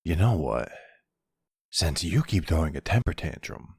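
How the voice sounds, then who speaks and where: A flirty male vampire, acted by a man in an intimate ASMR roleplay, very low and husky. He teases with a cocky, sardonic drawl, taking his time and swinging expressively through his lines. The sound is close and dry. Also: very animated, measured pace, darkish tone, dry recording, full character voice. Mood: mocking, flirtatious. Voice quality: gravelly, husky.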